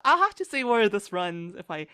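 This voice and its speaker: A woman's voice: medium pitch, big pitch swings, normal speed, sharp tone, smooth, no echo, clean studio sound. A woman chatting casually as an interview guest, rambling enthusiastically and acting out her own thoughts as she goes. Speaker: podcast guest, fan fiction writer.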